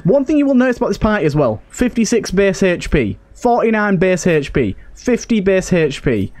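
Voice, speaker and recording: A man's voice: sits high, sing-song, normal speed, a little mellow. A man hosting a gaming series, giving casual let's-play commentary into a close mic.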